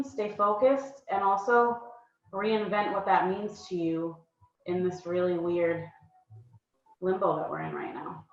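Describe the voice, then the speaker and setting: A woman's voice: medium pitch, fairly bright tone, far from the mic. A woman hosting a panel discussion, introducing guests and responding with encouraging praise. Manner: enthusiastic and encouraging. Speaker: host. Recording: roomy, slightly dull sound.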